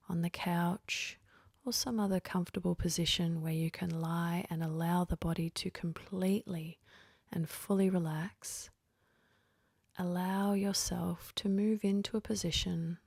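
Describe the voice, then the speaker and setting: A woman leading a guided sleep meditation in a gentle, whispery, half-voiced delivery, leaving long pauses between phrases. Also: fairly low pitch, gravelly, husky, no echo, clean studio sound.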